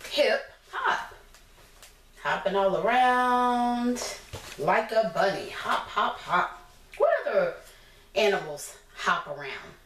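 American woman, preschool teacher, picture-book read-aloud, very animated pitch, unhurried pace, encouraging questions, echoey room. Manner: encouraging, instructional, asking simple questions. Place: small indoor room against a plain decorated wall. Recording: roomy echo, distant mic, clear background noise.

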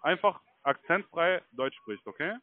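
A man from Germany talking casually on the phone in a halting, repetitive way, often checking he is followed. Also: on the high side, big pitch swings, dry recording. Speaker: call center recruiter. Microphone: telephone. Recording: tinny phone line.

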